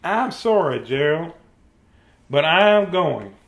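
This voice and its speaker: Small storybook pig, female, cheerful and resolute, played by a man; high, unhurried, simple announcements. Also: very animated, heavily acted. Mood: apologetic.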